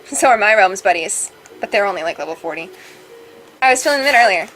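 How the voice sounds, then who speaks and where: A woman's voice: medium pitch, lively intonation, quick pace, fairly bright tone, low-quality recording. A woman reading visual novel dialogue aloud as a let's play host, playful and casual in her delivery. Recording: music behind.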